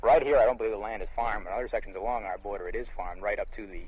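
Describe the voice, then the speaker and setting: A man's voice: lowish voice, racing, a little hiss or hum. An American man, an army lieutenant, explaining things matter-of-factly in an interview outdoors in the field. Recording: dull lo-fi vintage field recording.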